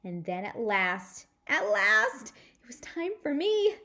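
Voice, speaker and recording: A woman voicing an excitable, dramatic little girl from a children's storybook, with exclamatory, childish speech. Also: medium pitch, slow, full character voice. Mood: eager, nervous. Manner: exclamatory, breathless, childish grammar. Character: excitable, dramatic little girl narrating her own adventures.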